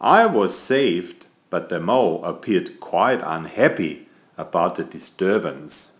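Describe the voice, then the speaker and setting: A man's voice: fairly low pitch, echoey room. A man voicing a vain, chatty, elegant tomcat who playfully tells a bedtime story to small children. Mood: storytelling. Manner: playful, self-satisfied, addressing small children.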